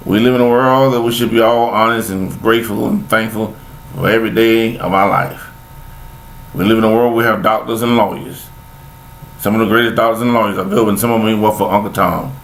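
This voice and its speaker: A man's voice: on the low side, fairly even intonation, brisk, a bit bright, poor audio. An older man, talking in a rambling, preachy monologue, repeating himself with folksy sayings. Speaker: vlogger giving life commentary.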